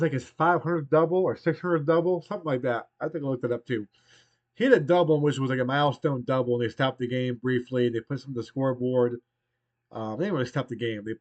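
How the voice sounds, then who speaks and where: Hoarse man with a cold. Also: mid-range voice, darkish tone, lo-fi sound. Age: 40s. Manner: rambling, conversational, trailing off. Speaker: game replay host. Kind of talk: casual play-by-play of a baseball game replay.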